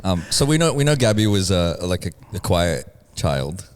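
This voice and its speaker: A man's voice: low-pitched, very animated, moderate pace, clean tone, in a large hall. A man hosting a podcast with joking, mock-dramatic casual banter. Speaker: podcast host.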